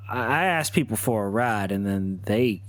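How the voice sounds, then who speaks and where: A man's voice: fairly low pitch, lively intonation, moderate pace, no room sound, close to an everyday voice. A man playing a reluctant, bewildered, polite ringmaster in tabletop roleplay, stammering and hesitant. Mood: uneasy, confused. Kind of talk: improvised in-character tabletop roleplay.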